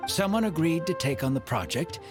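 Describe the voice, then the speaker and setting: An actor in a video game playing an earnest, proud man of the desert, speaking in formal, measured phrases. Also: a little high, animated, over music, lightly acted. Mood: guarded, explanatory. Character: earnest, proud desert-dwelling man, elderly or ailing, apologetic.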